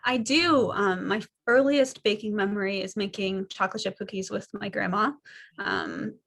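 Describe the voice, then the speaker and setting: A woman's voice: medium pitch, moderate pace, creaky, a bit bright. A thirty-year-old woman from Kansas City answering interview questions over a video call, earnest and chatty with frequent fillers. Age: 30s. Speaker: author and baker. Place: home living room.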